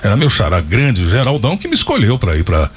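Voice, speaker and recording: A man's voice: lowish voice, wildly swooping pitch, quick pace, breathy edge. A man in his fifties hosting a radio show, chatting casually and rambling off into stories and asides. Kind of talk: casual on-air chat and storytelling.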